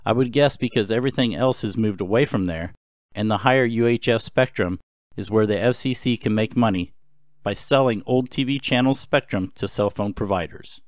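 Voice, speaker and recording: Low-voiced man narrating dryly. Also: normal speed, dry recording, clean studio sound. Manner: calm explanatory. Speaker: host. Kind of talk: scripted narration.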